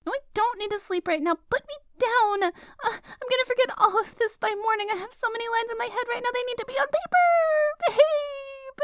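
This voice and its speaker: A woman's voice: very high voice, brisk, no echo, studio quality. A woman playing a stubborn, sleep-deprived writer girlfriend in an ASMR roleplay, rambling with dramatic, indignant exclamations. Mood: indignant, pleading.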